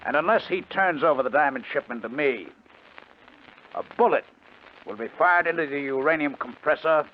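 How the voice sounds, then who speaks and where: Man acting scheming radio gangster, wildly varied pitch. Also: animated, lightly acted. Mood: deliberate, scheming. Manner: insistent, deliberate, confident. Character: scheming, persuasive male gang leader, coolly ruthless crook. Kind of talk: 1950s radio drama acting, also narrating in first person. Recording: muffled, noisy 1950 radio recording.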